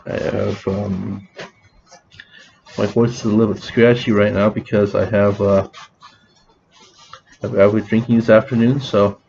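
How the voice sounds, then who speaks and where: A man talks in a loose, rambling vlog, his voice a bit scratchy and mellow. He stops and restarts often, full of fillers. The sound is slightly dull with a faint hiss behind it. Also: fairly low pitch, flat delivery, creaky. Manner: halting, many restarts and fillers. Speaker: vlogger.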